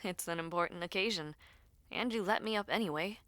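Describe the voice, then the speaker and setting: A woman's voice: lowish voice, a little fast, a bit bright, no room sound, lightly acted. A woman acting a defiant, proud young prince. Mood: playful. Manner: scornful, challenging.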